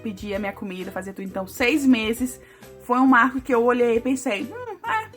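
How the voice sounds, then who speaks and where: A woman's voice: fairly high pitch, lively intonation, fairly quick, fairly bright tone, faint music behind. A young Brazilian woman with a Brazilian Portuguese accent, chatting casually to her viewers, often adding "né" and "sabe". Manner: chatty, addresses viewers as "gente", frequent "né" and "sabe". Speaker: vlogger. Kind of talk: casual chat to camera.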